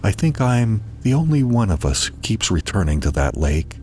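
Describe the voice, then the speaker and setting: A man's voice: lowish voice, very animated, moderate pace, a little mellow, faint music behind. A man narrating a scripted first-person horror story in a somber, suspenseful, dramatic manner.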